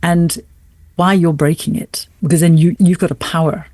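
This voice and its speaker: A woman speaking as an interview guest, explaining ideas in an articulate, measured and thoughtful way with emphatic pauses. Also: lowish voice, fairly even intonation, warm tone, dry recording. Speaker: writer and podcast guest.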